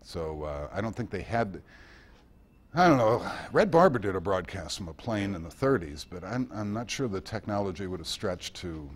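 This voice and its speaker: A man with a fairly low voice speaks informally to a club meeting. He tells history in loose, conversational anecdotes and drops in wry asides as he goes. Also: very animated, normal speed. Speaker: guest speaker giving a history talk.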